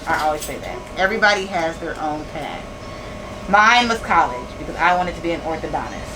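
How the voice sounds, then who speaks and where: A woman's voice: low-pitched, animated, a little fast, background noise. A woman in her thirties, a business owner talking candidly in a casual interview, often repeating phrases and laughing easily. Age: 30s. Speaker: store owner interviewed as guest.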